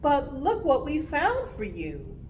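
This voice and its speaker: Lady doing a little bear cub from a picture book, high kiddie voice, kinda sheepish and simple. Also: wildly swooping pitch, slow, narrow, tinny audio, a little hiss or hum, full character voice. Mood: sorry, hopeful. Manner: childlike, short simple utterances. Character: small apologetic young bear cubs in a picture book.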